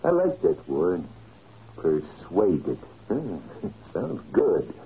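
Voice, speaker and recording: Deep-voiced, crude gangland henchman. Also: wildly swooping pitch, drawn-out words, vocal fry, heavily acted. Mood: gloating. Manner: slangy, tough, chuckling deference. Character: crude, gleeful male henchman, thuggish hired muscle. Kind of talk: 1940s radio gangster acting. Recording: dull, noisy old radio recording.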